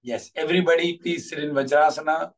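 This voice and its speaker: A man's voice: on the high side, fairly even intonation, brisk. A man in his forties with an Indian accent, a coach talking encouragingly to students in an online class. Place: small curtained room at home. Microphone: video call, device at arm's length. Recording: distant microphone.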